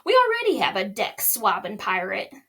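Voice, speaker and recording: A woman voicing a gruff, bossy old male pirate captain in a storybook reading. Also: mid-range voice, wildly swooping pitch, normal speed, a bit bright, voice pushed down, exaggerated voice. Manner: growling, blustery.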